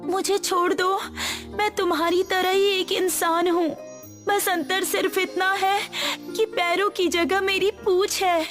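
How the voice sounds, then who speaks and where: A woman's voice: very high-pitched, level tone, measured pace, fairly bright tone, soft background music, pitched above the performer's own voice. A woman voicing a young captured mermaid, pleading and grateful, in a storybook tale.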